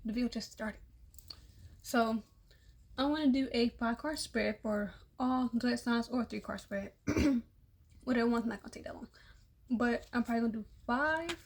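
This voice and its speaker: A young woman with a slightly high voice gives a relaxed tarot reading. She is losing her voice and sounds a little worn. She talks in a loose, repetitive way and sometimes drops to a soft murmur. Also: normal speed, slightly rough. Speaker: tarot reader. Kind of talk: casual tarot reading for all zodiac signs.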